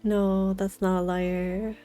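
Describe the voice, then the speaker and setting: A woman's voice: medium pitch, flat delivery, slow pace, dark tone, soft background music. A woman streamer reacting casually and gushingly to a game live, with exclamations.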